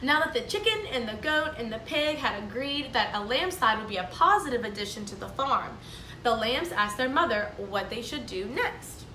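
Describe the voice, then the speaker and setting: A woman, a kindergarten teacher, reading a storybook aloud to children in a clear, explaining, sing-song manner. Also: fairly high pitch, animated, normal speed, sharp tone, a little hiss or hum. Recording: echoey room, mic at a distance.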